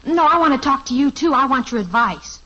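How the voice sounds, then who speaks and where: A woman's voice: high voice, animated, fast, bright, forceful tone, full character voice. An actress playing a dreamy, boy-struck teenage daughter in a 1950s radio sitcom, gushing and breathless. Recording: dull lo-fi old radio transcription.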